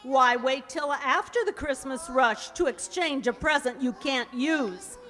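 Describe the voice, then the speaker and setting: Actress as feisty young working woman, high voice, animated, exasperated, slangy, radio comedy, long hall reverb. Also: unhurried. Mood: breezy, insistent. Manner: brash, slangy, emphatic. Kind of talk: radio comedy play acting. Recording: echoey hall.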